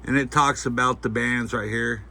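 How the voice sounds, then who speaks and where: Bright-voiced man rambling through a review. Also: mid-range voice, fairly even intonation, moderate pace, creaky, slight background noise. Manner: folksy, hesitant, rambling delivery. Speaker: product reviewer. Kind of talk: casual unscripted gadget review.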